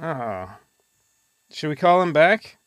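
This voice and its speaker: A man with a slightly high, smooth voice hosts a casual podcast. He rambles through dry jokes in banter with his friends, recorded close and dry. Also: very animated, takes it slowly, no echo. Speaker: podcast host.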